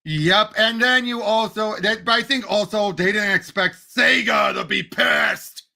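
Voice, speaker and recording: A man with a high, creaky voice chats casually as co-host of a film show. He sounds bright and sharp, and states his opinions with emphasis. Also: measured pace. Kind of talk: casual chat.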